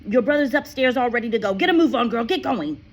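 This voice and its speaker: A woman's voice: on the high side, expressive, fast talker, pitched above the performer's own voice. A woman voicing an impatient, bullying middle-aged father who snaps and barks orders. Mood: angry barking. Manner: barking, snapping orders.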